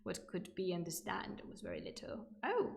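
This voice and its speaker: An echoey room surrounds a woman with a distinctly creaky voice. She presents history live to an online audience in an informal, chatty way. Her delivery is enthusiastic and digressive, often stepping aside from the lecture. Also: medium pitch, big pitch swings, normal speed, darkish tone. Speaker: livestream host presenting ancient history. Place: indoor room, livestream.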